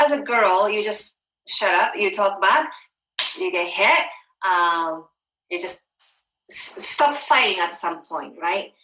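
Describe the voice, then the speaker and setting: A woman from Japan, hosting an interview and speaking candidly with halting phrasing. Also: fairly low pitch, bright, forceful tone, a little hiss or hum. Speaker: summit host. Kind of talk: interview conversation. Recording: echoey room.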